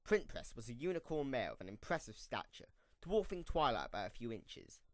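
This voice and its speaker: Male narrator for fan radio play; expressive range, dry recording. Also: mid-range voice, moderate pace, no echo. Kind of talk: scripted storybook narration for a fan audio drama.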